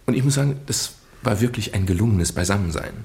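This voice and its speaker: A man acting in a German radio drama as a British gentleman nephew, earnestly and haltingly confessing a well-meant deception in genteel, roundabout phrases. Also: fairly low pitch, animated, vocal fry. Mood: earnest, confiding. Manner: hesitant, roundabout, genteel storytelling with stammered repeats.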